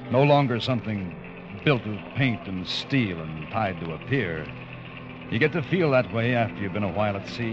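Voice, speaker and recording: An actor playing a stiff, dutiful first officer of an ocean liner in a 1940s radio drama, earnest and formal. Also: male voice, mid-range voice, natural voice. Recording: muffled old radio broadcast, music behind.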